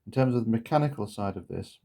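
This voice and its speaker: Guy showing how a gadget works, low mellow voice, bit halting, explains as he goes. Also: animated, vocal fry. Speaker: presenter of a gadget demonstration video. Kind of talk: casual hands-on demonstration, explaining step by step. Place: indoors at a wooden table.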